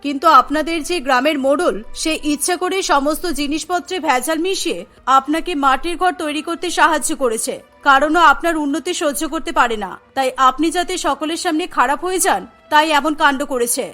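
নারীকণ্ঠে কার্টুনের দৃঢ়, উঁচু স্বরের মহিলা পুলিশ অফিসার. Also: female voice, sits high, a little fast, bright, forceful tone, soft background music. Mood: firm, explaining. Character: calm, authoritative female police officer. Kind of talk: cartoon character dialogue.

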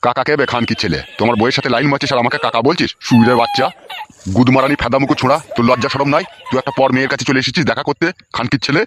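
A man's voice: medium pitch, steady tone, rapid-fire, a bit bright, a little hiss or hum, lightly acted. A man voicing a suspicious, quarrelsome old husband in a cartoon comedy, cursing and blustering with a rural West Bengal accent. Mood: furious.

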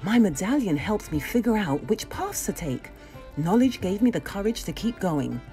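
Woman as a plucky young squire, lively pitch. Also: moderate pace, soft background music. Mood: earnest, proud.

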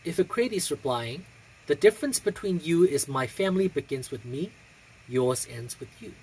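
A man with a husky voice and a theatrical, deliberate delivery, speaking indoors into a phone a short distance away. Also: a little high, lively intonation, a little mellow, slight background noise. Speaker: drag performer and reader. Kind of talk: casual vlog chat and expressive poetry reading. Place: small room indoors. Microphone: phone on a stand a short distance away.

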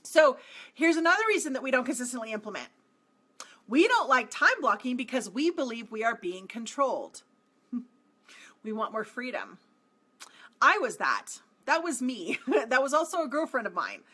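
A woman's voice: sits high, normal speed, pauses often, breathy edge. A woman in her forties, a marketing coach talking straight to camera from a home office, emphatic and repeating her points. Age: 40s. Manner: emphatic, repeats phrases, animated with hand gestures. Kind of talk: direct-to-camera motivational talk. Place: small home office indoors.

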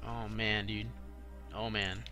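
A young adult man commentating casually on a video game for a live chat, slangy, profane and excitable, often repeating himself. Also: on the low side, slow, music in the background. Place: live game stream with game music behind the voice.

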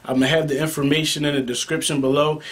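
A man's voice: on the high side, flat delivery, fast. Casual American man talking barber gear. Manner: informal, emphatic, repeats key points. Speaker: barber and video host. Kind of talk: casual product talk to camera.

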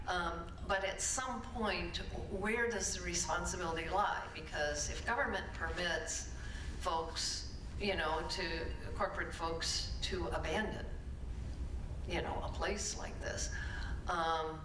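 An older woman on a panel at a public forum, answering earnestly and thoughtfully with frequent ums, speaking into a stand mic on stage. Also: fairly low pitch. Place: stage in a large auditorium. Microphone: stand mic on a panel table. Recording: echoey hall, distant mic, noisy.

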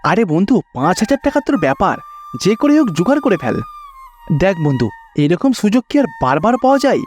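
A man's voice: sits high, sing-song, fast, a little mellow, faint music behind. A man voicing a friendly middle-aged villager in a cartoon story, hearty and chatty with an old friend. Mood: persuasive.

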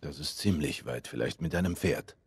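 An actor playing a stubborn middle-aged survivor in a dubbed video game, gruff and terse. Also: male voice, extremely low pitch, moderate pace, heavily acted. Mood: guarded.

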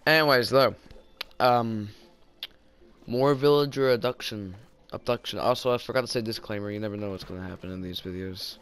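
A man's voice: fairly low pitch, a little fast, a bit bright, clean tone. A young man with a Southern US accent, rambling and joking through casual gameplay commentary while tired. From southern United States. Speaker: gaming YouTuber.

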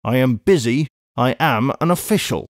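A man voicing an irritable, unaffectionate English brother in a storybook, abrupt and impatient, in a low tone. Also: lively intonation, moderate pace, dry recording, clean studio sound, close to an everyday voice. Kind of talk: audiobook character voice.

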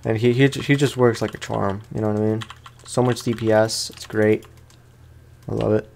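A man's voice: on the low side, steady tone, normal speed, vocal fry, darkish tone, slight background noise. A man hosting a let's play, chatting casually and playfully in slangy speech as he comments on the game. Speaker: gamer, let's play host.

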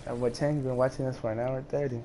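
A man's voice: mid-range voice, little pitch movement, quick pace, mellow. A young man streaming a team video game, chatting casually in a slangy, profane, bossy way. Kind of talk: casual team gaming chat. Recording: noisy game voice chat.

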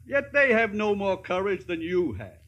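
Humbug wizard: older, blustering, kindly, high bright voice, grandiloquent radio-play delivery. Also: male voice, sing-song, moderate pace, full character voice. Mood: pompous, kindly. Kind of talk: 1950s radio drama acting.

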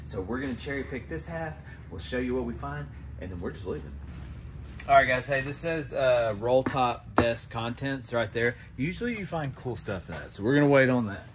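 A man in his thirties hosting a casual storage-unit vlog, chatty and excited, talking straight to viewers as he digs through boxes in a storage hallway. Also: mid-range voice, normal speed, noisy background. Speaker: storage unit treasure hunter host. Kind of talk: casual vlog unboxing chat. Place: indoor self-storage hallway.

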